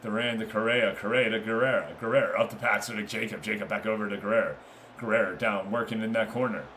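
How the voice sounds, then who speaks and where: A man's voice: fairly low pitch, steady tone, fast talker, pushed and bright, slight background noise. A man doing live, informal play-by-play of a football game, chatting casually with viewers between passes. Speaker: streamer commentating a football management game.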